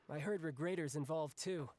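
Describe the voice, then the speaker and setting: A man's voice: on the high side, a little slow, darkish tone, no echo. A man voicing a cocky, breezy young warrior in a video game, conversational and full of boyish swagger. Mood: wry, casual. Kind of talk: video game character acting.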